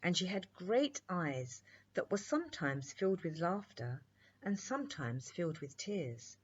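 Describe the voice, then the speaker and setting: A woman reading a children's bedtime story in an expressive, deliberate storytelling manner, on a muffled recording. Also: lowish voice, breathy edge. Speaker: storybook reader.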